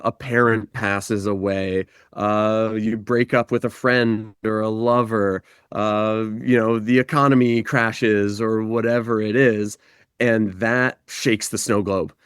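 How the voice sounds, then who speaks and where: A man guests on a podcast, reflective and thoughtful, reaching for images as he explains and moving between slow and quick delivery. His voice sits somewhat low. It is recorded close and dry. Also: clean tone, dry recording. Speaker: podcast guest, life guide. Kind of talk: reflective conversation.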